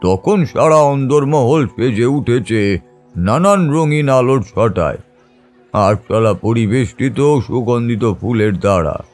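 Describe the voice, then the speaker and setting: A man narrating a literary first-person ghost story in a measured, dramatic storytelling manner. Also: medium pitch, animated, darkish tone. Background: background music.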